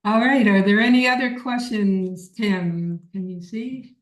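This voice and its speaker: A woman with a middle-pitched voice leads an informal online workshop. She takes questions at a slow pace, encouraging and repeating words for emphasis. The room around her is echoey. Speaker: workshop leader. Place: indoor room on a video call.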